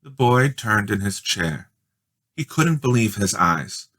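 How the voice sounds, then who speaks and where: A man reading a children's story aloud in expressive, measured, dramatic narration. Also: lowish voice. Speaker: storybook reader.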